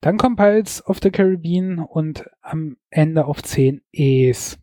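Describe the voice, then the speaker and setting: Male podcast co-host, mellow tone, dry studio sound, opinionated chatter with fillers. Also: fairly high pitch, slow pace, no room sound. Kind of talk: casual chat about films.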